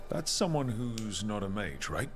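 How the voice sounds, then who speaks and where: An actor playing a calm, inquisitive fantasy adventurer in a video game, measured and sympathetic. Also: male voice, fairly low pitch. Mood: probing. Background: music.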